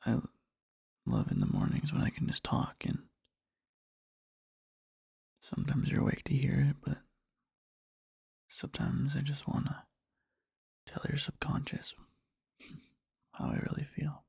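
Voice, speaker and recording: A man playing an adoring boyfriend in a soft ASMR roleplay, murmuring slowly and tenderly to a sleeping partner in a breathy, husky whisper. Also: mid-range voice, huge pitch swings, dry recording, studio quality, full character voice. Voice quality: breathy, husky, with creaky fry. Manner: slow, halting, tender murmuring.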